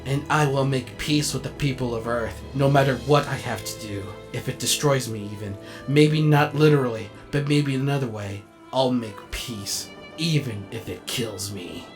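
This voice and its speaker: Casting: male actor as a vain cartoon robot showman, grandiose and excitable, mid-pitched, music underneath. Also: moderate pace, lightly acted. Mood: pompous. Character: vain, melodramatic robot actor and amateur movie director. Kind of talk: comedic animated comic dub acting.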